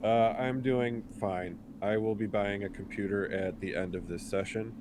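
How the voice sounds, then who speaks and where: A man's voice: fairly low pitch, steady tone, normal speed, crowd noise. A man chatting casually and a little hesitantly as a player on a tabletop roleplaying video call. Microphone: computer video call. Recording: noisy home call audio.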